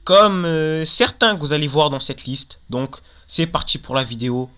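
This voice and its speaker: A man's voice: fairly high pitch, lively intonation, moderate pace, bright, forceful tone, smooth. A young man hosting a casual countdown video, talking informally and giving opinions, often repeating and qualifying himself. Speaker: video host.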